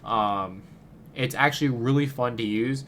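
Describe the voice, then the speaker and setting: A man's voice: mid-range voice, a little slow, a bit bright, a little hiss or hum. Stuffy-nosed man rambling casually. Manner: rambling, many fillers and restarts. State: stuffy nose from a cold. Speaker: gaming video creator. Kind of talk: casual game guide commentary.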